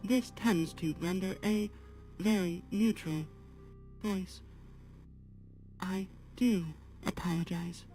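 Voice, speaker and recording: Earnest female AI streamer, acted by a woman, halting formal delivery at a very unhurried pace, soft music underneath. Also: fairly low pitch. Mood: earnest, apologetic. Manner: measured, halting, formal, avoiding contractions. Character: polite artificial-intelligence female assistant, apologetic and gently earnest. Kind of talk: slow guided talk to a live chat.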